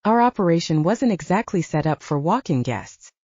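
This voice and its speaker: A woman narrates fiction in a lower-pitched voice with plenty of melodic movement. Her tone is mellow rather than bright, and the dry, slightly dull recording keeps the scripted first-person storytelling close. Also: very animated, moderate pace, husky, dry recording. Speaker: audiobook narrator.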